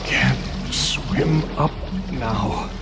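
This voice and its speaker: An actor playing a male secret agent struggling underwater in an audio storybook, moaning in a gravelly voice. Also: mid-range voice, very slow, over loud music. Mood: desperate, groggy.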